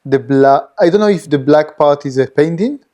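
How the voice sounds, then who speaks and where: Halting interviewer, medium pitch. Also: animated, dark tone. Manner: halting, searching for words. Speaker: interviewer host. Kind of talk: casual interview questions.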